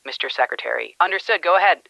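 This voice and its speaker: A woman playing a brisk, probing newspaper foreign editor, businesslike and questioning in an audio drama on a noisy line. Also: fairly low pitch, animated, gravelly, sharp tone, no echo, lo-fi sound, heavily acted.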